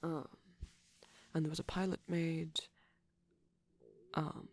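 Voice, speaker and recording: A man playing a shy, gentle vampire boyfriend in intimate ASMR roleplay, speaking softly and hesitantly, often close to a whisper. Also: on the high side, flat delivery, takes it slowly. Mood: softly enthusiastic, hesitant. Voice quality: soft, breathy, husky, often near a whisper. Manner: hesitant, bashful, rambling tenderly.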